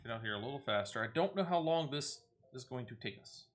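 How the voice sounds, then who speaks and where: A man's voice: medium pitch, expressive, fast talker, a little hiss or hum. A man giving casual gameplay commentary, partly muttering to himself and partly reading quest text aloud as he plays. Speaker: gaming video host.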